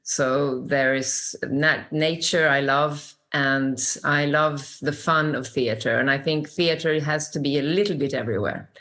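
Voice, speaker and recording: Older Swedish-accented woman, low voice, warm reflective storyteller; interview guest. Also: steady tone, unhurried, few pauses. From Sweden.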